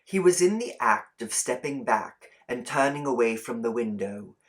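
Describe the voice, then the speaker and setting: A man reading a short story as an audiobook, in a deliberate, expressive storytelling delivery. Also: mid-range voice. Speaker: audiobook reader. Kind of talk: scripted storybook narration.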